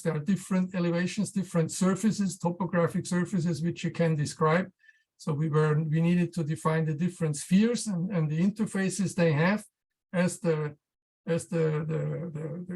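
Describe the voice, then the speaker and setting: A researcher giving an informal technical presentation, speaking haltingly and often repeating words while searching for the right phrasing. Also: mid-range voice, darkish tone. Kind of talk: technical talk, loosely scripted presentation.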